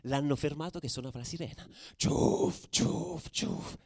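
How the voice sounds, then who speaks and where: An actor playing a hardened, streetwise football ultra, delivering an intense, colloquial radio drama monologue in a gravelly voice. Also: male voice, a little high, unhurried, darkish tone. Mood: excited, agitated. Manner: colloquial, brooding, swinging between murmur and agitated outburst. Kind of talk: theatrical radio monologue, narrating.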